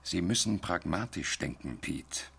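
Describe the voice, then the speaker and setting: An actor playing a cool, sceptical, pragmatic official with a dry, knowing manner in a radio play. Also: male voice, deep voice, expressive, unhurried, vocal fry, fairly bright tone, husky, exaggerated voice.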